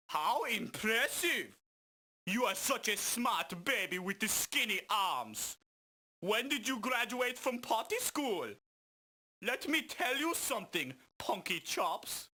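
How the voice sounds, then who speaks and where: Slow, forceful villain braggart. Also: male voice, very high voice, full character voice. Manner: pompous, taunting, grandiose declarations. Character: boastful muscle-bound male villain, menacing and ridiculous. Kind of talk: exaggerated video game cartoon acting.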